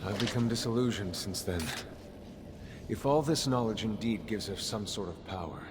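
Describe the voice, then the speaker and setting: An actor playing a grave, world-weary, middle-aged master of a secret order in a video game, speaking in a measured, instructive way. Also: male voice, lowish voice, noisy background, close to an everyday voice. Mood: solemn, disillusioned.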